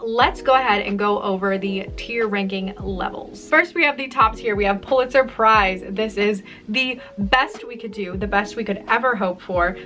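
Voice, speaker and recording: A young woman hosting a casual vlog as an author, talking in a jokey, self-deprecating way with animated asides. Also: a little high. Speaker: author and video host. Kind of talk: casual chatty vlog to camera.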